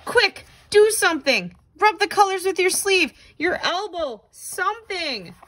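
A woman reading a children's picture book aloud in an expressive, dramatic, exclaiming way. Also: very high voice, unhurried. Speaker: storybook reader.

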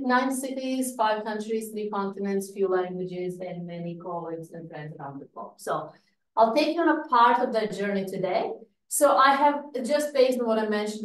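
A middle-aged woman from Croatia presents a research seminar in an echoey room. She is heard from some way off the mic, speaking in a lively manner and often pausing on fillers. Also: medium pitch, distant mic. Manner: lively, with light laughs and frequent fillers. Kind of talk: academic seminar talk.

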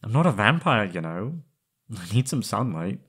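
A young man playing an anguished, lovesick former captive in an intimate roleplay audio, halting and pleading. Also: on the low side, sing-song, a bit bright, breathy edge, voice pushed down, exaggerated voice. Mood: relieved, tender. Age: young adult.

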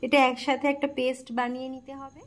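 High-voiced Bengali woman explaining a recipe. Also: quick pace. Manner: calm, plain instructional tone, listing ingredients. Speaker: cooking channel host. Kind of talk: step-by-step recipe narration. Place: home kitchen, by the stove.

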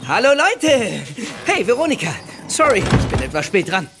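A woman's voice: a little high, big pitch swings, moderate pace, music in the background. An actress playing a proud, perky young woman designer in an audio drama, showing off with a flourish. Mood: proud, showy. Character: proud, showy young woman designer presenting cute creations. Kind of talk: German children's audio drama acting.

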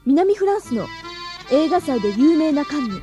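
Eager high-pitched schoolgirl. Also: very animated, moderate pace, warm tone, soft background music. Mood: narrating brightly. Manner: youthful, eager, girlish. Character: bright, eager high-school girl detective, cheeky with father. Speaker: heroine and narrator. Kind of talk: radio drama narration and dialogue.

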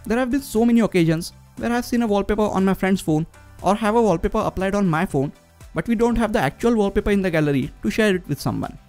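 A man's voice: high-pitched, animated, quick pace, a little mellow. A man co-presenting a tech video, reading a scripted review as narration. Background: background music.

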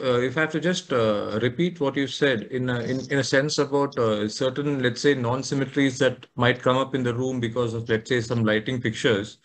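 A middle-aged man with an Indian accent moderates a technical discussion on a video call, phrasing things carefully and hesitantly. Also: mid-range voice. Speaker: host. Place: small furnished room at home.

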